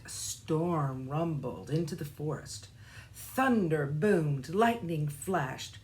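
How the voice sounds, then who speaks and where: A woman reading a children's storybook aloud with expressive, deliberate phrasing. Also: low-pitched. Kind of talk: scripted children's storybook narration.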